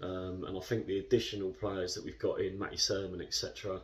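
A man in his thirties speaks in a fairly low voice, talking a post-match interview through in a relaxed, rambling way. He is recorded indoors on a camera set a little back from him. The sound has a slight distance to it. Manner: chatty, measured, lots of fillers. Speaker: football club captain. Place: indoor club room.

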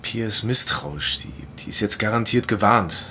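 A man's voice: fairly low pitch, normal speed, pushed and bright, a little hiss or hum. An actor playing a sceptical, cautious young man who questions things in colloquial speech, in an audio drama. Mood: sceptical, wary. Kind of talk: naturalistic audio drama acting.

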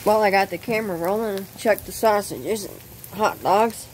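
A woman hosting her own cooking channel, explaining step by step outdoors at a grill, halting often with ums. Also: mid-range voice, darkish tone, a little hiss or hum, low-quality recording. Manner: unhurried, hesitant with frequent ums. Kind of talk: casual cooking demonstration.

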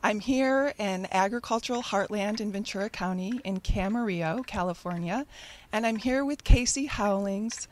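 A woman's voice: mid-range voice, moderate pace, slight background noise. A woman from upstate New York, an agriculture education coordinator, hosting a farm interview in an upbeat, enthusiastic way. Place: outdoors on a farm, then inside a greenhouse.

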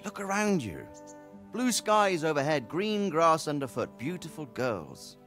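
Middle-aged knight, fatherly, measured, lively pitch, faint music. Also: heavily acted. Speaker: knight lord, father of the hero. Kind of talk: video game cutscene dialogue. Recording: soft game music behind.

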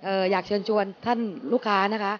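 A middle-aged woman answers interview questions inside a store in a measured way, pausing on filler sounds. She speaks into a handheld mic, and the sound is a little dull, with faint noise behind. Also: mid-range voice, fairly even intonation. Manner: measured, with frequent hesitation fillers. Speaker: company managing director. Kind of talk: on-camera interview. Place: inside a supermarket.

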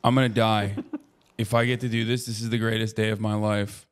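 A man's voice: on the low side, flat delivery, fairly quick. A man in his thirties giving casual livestream commentary into a close microphone. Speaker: livestream host.

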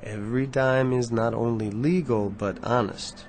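An actor playing a smug, smooth-talking self-made man in a radio drama, confident and insistent. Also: mid-range voice, steady tone, a little slow, a little mellow, slight background noise, natural voice. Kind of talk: vintage radio drama acting. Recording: old radio drama with faint music.

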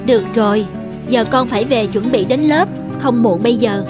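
Mother goddess played by a woman, patient, gentle but firm, cartoon dub over music. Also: fairly high pitch, normal speed, lightly acted. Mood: gently firm. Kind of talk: cartoon myth dubbing. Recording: music behind.

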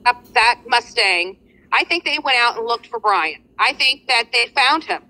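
A woman in a casual livestream discussion on a video call, giving her view haltingly and repeating words. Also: a little high, animated, pushed and bright, poor audio. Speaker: livestream panelist. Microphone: video call replayed from a phone.

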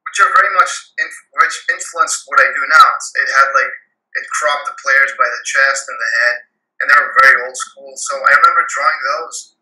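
Very bright-voiced young man, roomy call. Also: mid-range voice, a little fast. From Romania, raised in Austria, Germany and New York. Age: young adult. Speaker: guest, graphic artist. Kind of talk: casual interview answers. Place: home room with desk, indoors. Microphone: video call, computer at desk distance. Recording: roomy, tinny video-call audio.

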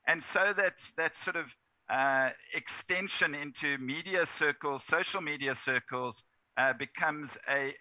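Emphatic middle-aged broker on a headset. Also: male voice, medium pitch, sharp tone, dry recording, rough recording. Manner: emphatic, persuasive, stacking adjectives. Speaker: managing broker guest. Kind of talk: video call interview answer. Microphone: headset on video call.

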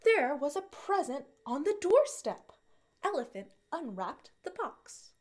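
A young woman reading a picture book aloud to small children in an expressive, deliberate way. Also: sits high. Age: young adult. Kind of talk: children's read-aloud narration.

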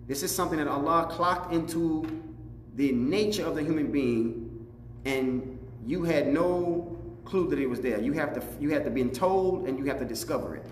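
A middle-aged man, an imam, giving a religious teaching address in a deliberate, explanatory way, repeating phrases for emphasis. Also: a little high. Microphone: lapel mic. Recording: echoey room, reverb, faint background noise.

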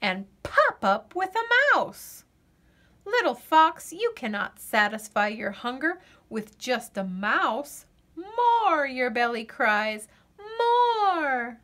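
A woman reading a children's picture book aloud as a storyteller, with gentle, expressive delivery and deliberate dramatic pauses. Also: high voice.

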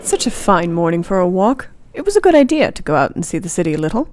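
A woman's voice: fairly low pitch, sing-song, fast talker, a little mellow, some chatter behind. A woman playing a caring, observant young Victorian wife in a radio play. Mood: cheerful, tender.

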